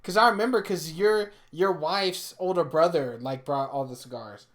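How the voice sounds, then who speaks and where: A man's voice: on the high side, normal speed, a bit bright. A young man, a podcast co-host, teasing and chiming in during casual chat.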